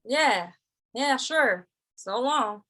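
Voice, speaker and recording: A woman's voice: fairly high pitch, animated, very slow, very noisy. A woman playing an amiable, curious cowboy in an old-time western radio drama, with a mild, hesitant, folksy way of talking. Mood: awkward.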